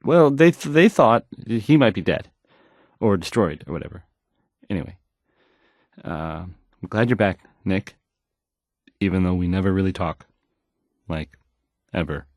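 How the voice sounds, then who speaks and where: A man's voice: low-pitched, lively intonation, a little mellow, no echo, studio quality, full character voice. An actor playing an awkward, insecure male radio DJ in a video game, stammering and hesitating through his news links. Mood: nervous, awkward. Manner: halting, stammering, hesitant, awkward, self-doubting. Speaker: radio DJ character.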